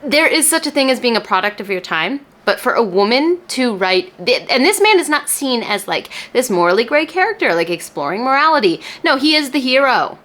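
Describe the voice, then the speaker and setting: Chatty, animated woman book vlogger. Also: fairly high pitch, fairly quick, few pauses. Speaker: book reviewer and video host. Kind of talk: casual book vlog talk to camera.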